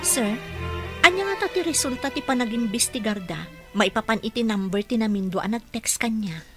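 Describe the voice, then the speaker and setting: An encouraging Ilocano Filipino housekeeper played by a woman in a radio drama. Her voice sits fairly high and she speaks as a carer to an employer, with music underneath. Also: animated, fairly quick, pushed and bright. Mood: concerned.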